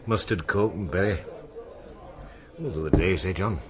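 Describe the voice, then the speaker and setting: A man's voice: deep voice, moderate pace, crowd noise, natural voice. An actor playing a seasoned, wistful seaman in a radio drama, telling tales in a musing, colloquial way. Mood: wistful, nostalgic. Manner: musing, colloquial storytelling with seafaring turns of phrase. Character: seasoned old seaman, wistful, salty storyteller.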